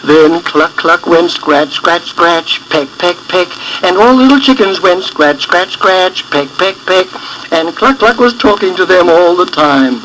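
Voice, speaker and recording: A man reading a story for children in an expressive storytelling style, as its author, on an old record. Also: high-pitched, measured pace, background noise.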